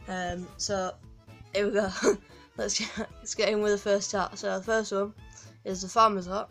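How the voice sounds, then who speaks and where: A woman's voice: mid-range voice, flat delivery, normal speed, a bit bright, soft background music. A woman with a Yorkshire accent gives a rambling, casual walkthrough of a game from home, full of ums and restarts. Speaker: gaming guide creator.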